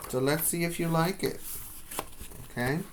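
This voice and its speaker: A man reading aloud to young children as their teacher, pausing to explain and put questions to his listeners. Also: on the high side, steady tone, a little hiss or hum, rough recording. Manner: instructional, asking the listeners questions.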